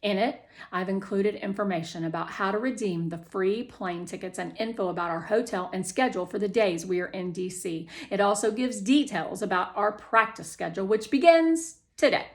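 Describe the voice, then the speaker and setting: A woman reading the part of a brisk, competitive male teacher in a children's story, firm and matter-of-fact. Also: mid-range voice, natural voice. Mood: businesslike. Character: brisk, competitive male schoolteacher coaching a quiz team.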